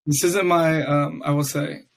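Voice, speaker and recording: A young man with a lasting raspy voice hosts a laid-back podcast. His pitch sits a bit high for a man, and he talks in a loose, rambling way full of fillers. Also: little pitch movement, a little slow. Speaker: podcast host. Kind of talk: casual chat.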